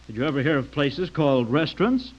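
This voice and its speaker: A jovial, blunt middle-aged father in a radio play. His voice sits fairly high for a man and has a teasing, talkative manner. The recording is dull and lo-fi. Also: very animated, brisk. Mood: amused, blunt. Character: chatty, tactless middle-aged father, insurance salesman. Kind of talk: radio drama acting.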